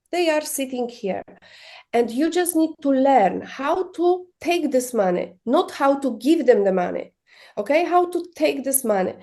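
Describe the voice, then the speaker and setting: A woman's voice: high-pitched, dark tone. A woman giving advice as an interviewed educator, explaining emphatically and repeating her key points.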